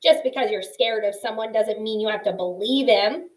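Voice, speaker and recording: A woman voicing a gruff, blunt old male porcupine who scoffs and teases in slangy talk. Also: fairly high pitch, normal speed, darkish tone, close to an everyday voice. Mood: scoffing.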